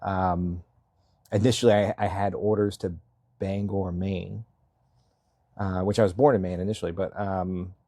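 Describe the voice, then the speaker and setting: A man's voice: deep voice, level tone, measured pace, long pauses, mellow, no room sound, clean studio sound. A man in his thirties from Massachusetts, talking casually as an interview guest, rambling with fillers and frequent chuckles. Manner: rambling, self-deprecating, laughs often, many fillers. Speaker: selectboard candidate, guest.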